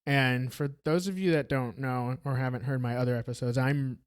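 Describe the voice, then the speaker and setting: A Brazilian-born man with an American accent hosting a casual podcast interview, relaxed and often restarting phrases. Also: mid-range voice, moderate pace, smooth. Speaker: podcast host.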